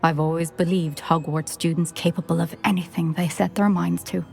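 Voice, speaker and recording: A woman's voice: on the low side, steady tone, normal speed, vocal fry, darkish tone, soft background music. An actress playing a dignified older witch headmistress in a video game, formal and wry in manner. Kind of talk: video game cutscene acting.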